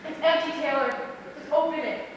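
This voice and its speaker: An actress playing a forceful, menacing woman in an amateur stage play, speaking in an insistent, emphatic, commanding way. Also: high voice, fairly even intonation, fairly quick, natural voice. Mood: coaxing, firm. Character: forceful, menacing woman pressuring her fearful housemate. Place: small theatre stage. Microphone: distant recorder in the hall. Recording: distant, echoey theatre hall, faint noise.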